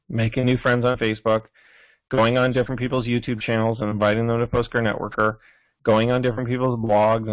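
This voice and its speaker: A muffled, low-fidelity recording with a dry sound carries a man's mid-pitched voice. He talks like a team trainer on a coaching call, informal and instructive. He often stops to check that listeners are following. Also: no echo. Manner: instructive, repeatedly checking in with "okay?". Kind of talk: informal sales training talk. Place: live team conference call.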